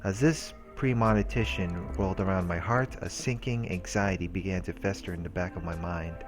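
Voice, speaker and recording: A man with a low voice reads a visual novel's text aloud and comments casually as a let's play host. Game music is clearly audible behind him. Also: moderate pace.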